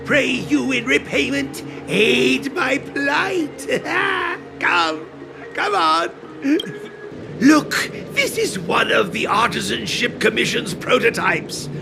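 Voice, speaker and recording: An eccentric game inventor with an extremely high, wildly swooping voice. He is excitable and theatrical, drifting into old-fashioned rhymed declamation, with loud music behind him. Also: slow, creaky, exaggerated voice. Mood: proud, theatrical. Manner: theatrical, bursts into archaic rhyming verse. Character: eccentric, excitable male master inventor and craftsman. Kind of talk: video game character acting.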